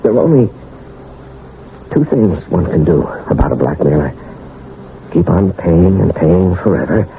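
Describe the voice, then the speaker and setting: A man's voice: low voice, animated, measured pace, long pauses. An actor playing a grim, exasperated, resolute man in a radio mystery drama, with emphatic, weighty phrasing. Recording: dull, noisy old radio broadcast recording.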